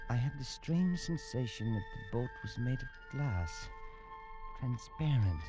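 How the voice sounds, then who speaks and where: A man's voice: medium pitch, lightly acted. A man playing a haunted, stammering narrator. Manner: tense first-person storyteller, stammering and hushed. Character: haunted Englishman narrating his own nightmare. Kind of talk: 1940s radio acting. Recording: lo-fi old radio recording under music.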